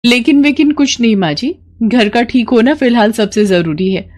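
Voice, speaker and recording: A woman voicing an earnest, resourceful young village daughter-in-law, eager and respectful, in an animated story. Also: a little high, normal speed, voice pushed up, lightly acted. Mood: determined. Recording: noticeable background noise.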